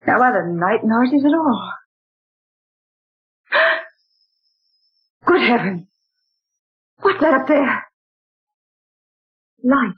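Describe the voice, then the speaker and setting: A breathy, genteel lady in distress. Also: a little high, heavily acted. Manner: dramatic, exclaiming. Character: genteel woman recounting a ghostly night. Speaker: radio drama heroine. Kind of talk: 1940s radio acting. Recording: tinny old radio broadcast.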